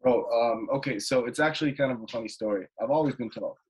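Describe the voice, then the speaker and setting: A man's voice: medium pitch, fast talker, rough recording. Laid-back man rambling on a video call. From Jordan. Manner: relaxed, rambling with many fillers and restarts. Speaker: guest, basketball player. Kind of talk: casual interview chat. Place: bedroom at home, indoors. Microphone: webcam video call.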